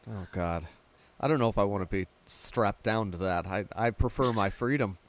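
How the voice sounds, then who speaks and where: A man's voice: on the low side, moderate pace. A man hosting a film podcast with casual, chatty talk.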